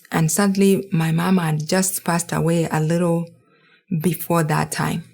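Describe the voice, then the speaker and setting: Woman, thirties, heartfelt gala speech, slightly low voice. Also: darkish tone. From Kenya. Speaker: board member giving a speech.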